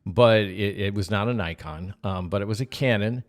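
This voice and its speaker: Male podcast storyteller, middle-aged, lower register, conversational, acts out the quotes in his anecdotes. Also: moderate pace. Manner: animated retelling with acted-out dialogue.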